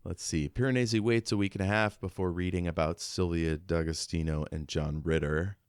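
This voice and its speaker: A man's voice: low voice, fairly even intonation, normal speed, smooth, dry recording, studio quality. A man co-hosting a podcast, talking through a book in a casual, reflective, conversational way. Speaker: podcast co-host.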